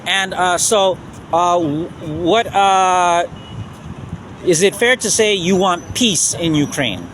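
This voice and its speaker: A man conducting an informal street interview, feeling his way haltingly through probing questions. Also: high voice, fairly bright tone. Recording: outdoor street noise, traffic.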